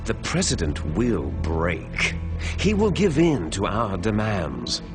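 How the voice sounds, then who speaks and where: A theatrical, menacing villain commander, swooping delivery. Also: male voice, fairly low pitch, huge pitch swings, slow pace, music in the background, exaggerated voice. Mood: gloating, scheming. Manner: theatrical, condescending. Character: menacing, arrogant terrorist leader, scheming and grandiose man. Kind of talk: dramatic video game cutscene acting. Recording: loud game soundtrack behind the voice.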